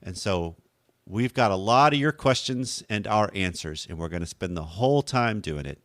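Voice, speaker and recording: A man hosting a podcast in a relaxed, chatty way, with a slightly hoarse deep voice and a habit of correcting himself as he goes. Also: normal speed, dry recording, studio quality. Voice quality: deep radio voice. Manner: conversational, rambling, self-correcting. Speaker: podcast host. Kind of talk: casual podcast talk.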